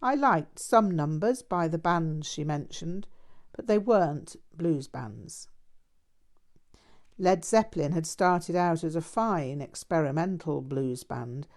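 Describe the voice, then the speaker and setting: A woman reading a memoir aloud as scripted narration, in a measured, deliberate manner. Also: low-pitched, expressive, a little mellow. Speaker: audiobook reader.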